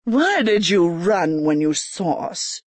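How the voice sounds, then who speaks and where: Woman doing a menacing sorcerer duke, slow and low. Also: sing-song, no room sound, heavily acted. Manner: slow, low, clipped and threatening.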